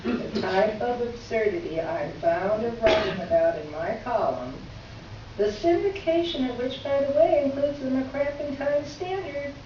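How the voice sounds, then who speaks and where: A woman playing a polite but pointed newspaper columnist, reading a formal letter aloud in a measured, drily ironic way for readers theater. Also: fairly high pitch, animated. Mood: dry, pointed. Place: live reading in a reverberant room. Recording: echoey room from far off, dull, noisy.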